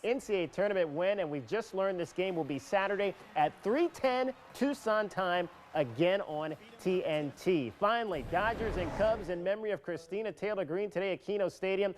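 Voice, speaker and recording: A man's voice: sits high, lively intonation, moderate pace, few pauses, a little hiss or hum. A man reading scripted sports news as a television anchor. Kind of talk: scripted TV sports newscast narration.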